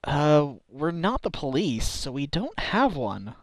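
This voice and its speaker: Wry, hesitant male lawyer, played by an actor for a game dub; lightish pitch, animated, echoey room. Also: slow pace, heavily acted. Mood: puzzled. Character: earnest, wry male defence lawyer, slightly hapless. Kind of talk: video game fandub acting with inner monologue.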